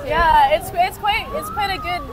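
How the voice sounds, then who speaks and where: A woman's voice: extremely high pitch, a little slow, a crowd in the background. A woman from Scotland with an American accent, chatting casually and mixing Tagalog and English. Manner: relaxed, mixes Tagalog and English. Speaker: customer on the beach. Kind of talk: casual chat. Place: outdoors on a windy beach. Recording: clear wind noise outdoors.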